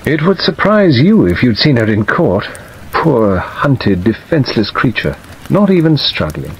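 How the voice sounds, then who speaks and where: A man voicing a weak, hesitant middle-aged gentleman in an audiobook, plaintive and wistful. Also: mid-range voice, husky, pitched above the performer's own voice, full character voice. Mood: troubled, hesitant. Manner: hesitant, halting, self-qualifying. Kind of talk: dramatised audiobook reading. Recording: dull audio under steady rain noise.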